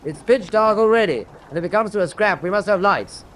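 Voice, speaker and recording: An actor with a British accent playing a resolute naval officer briefing his men in a war radio drama, crisp and authoritative. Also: sits high, animated, a little fast, fairly bright tone. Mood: resolute, businesslike. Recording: faint background noise.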